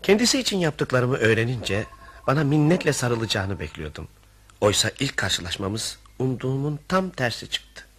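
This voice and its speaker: Animated wry storyteller, music behind. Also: male voice, mid-range voice, moderate pace, slightly rough. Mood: wry narrating. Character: wry male storyteller recounting his friend's misadventure. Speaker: narrator in a radio play. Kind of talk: radio theatre storytelling.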